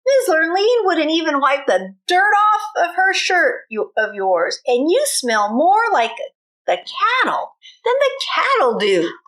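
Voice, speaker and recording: A woman voicing mean young cowboy stepbrothers in a storybook, with a Texas accent and a loud, taunting, commanding manner. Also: very high-pitched, very animated, slow, voice pushed up, full character voice, low-quality recording. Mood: mocking.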